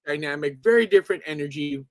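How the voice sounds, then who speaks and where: A man, a guest on a casual talk show, telling stories with lively quoted voices. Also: a little high, fast, no echo. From Philippines. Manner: animated storyteller, quotes people in voices. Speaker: podcast guest. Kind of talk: casual interview chat.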